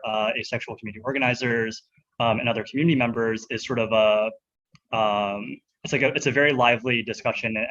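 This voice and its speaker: A man talks as a panelist on a video call, stopping often for fillers and restarts as he works out his thoughts. His voice sits in an ordinary middle pitch, and the call audio comes through dull and muffled. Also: fairly bright tone. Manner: hesitant, many fillers and restarts. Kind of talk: panel discussion.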